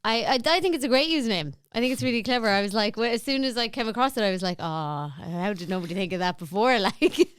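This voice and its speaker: A woman's voice: on the high side, animated, fast, hardly any pauses, clear, resonant tone, no echo, clean studio sound. An Irish woman hosting a podcast, chatting casually and encouraging her guest in an informal way. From Ireland. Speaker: podcast host. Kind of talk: casual chat interviewing.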